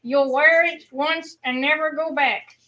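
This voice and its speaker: A woman's voice: high-pitched, fairly even intonation, unhurried, pushed and bright, lo-fi sound. A woman reading a mock old-time radio advertisement as the sponsor's announcer. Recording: faint background noise.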